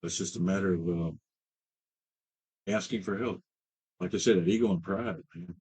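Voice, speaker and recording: Deep husky man in his fifties. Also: steady tone, a little mellow, low-quality recording. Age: 50s. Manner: halting, many fillers and restarts. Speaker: client in recovery. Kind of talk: personal recovery story. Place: treatment center.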